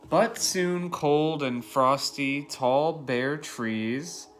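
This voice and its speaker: A man's voice: on the high side, extremely slow, fairly bright tone, soft background music. A man in his thirties, a preschool teacher reading a picture book aloud and talking simply and directly to young children. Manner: addresses small children directly with questions and simple explanations. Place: living room at home, indoors.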